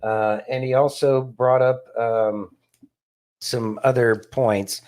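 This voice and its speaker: A man's voice: medium pitch, steady tone, slow pace, a little mellow. A man co-hosting a casual political discussion, speaking emphatically and repeating phrases for stress. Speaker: podcast co-host.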